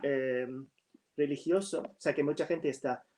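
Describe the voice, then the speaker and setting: A man whose first language is English, a writer in a casual chat over a video call, speaking slowly and hesitantly with many fillers. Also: medium pitch, fairly even intonation, noisy background. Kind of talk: casual conversation.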